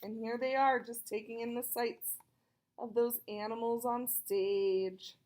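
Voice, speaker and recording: Woman, high voice, picture book read-aloud, expressive storytelling, chatty explanatory asides. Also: a little slow.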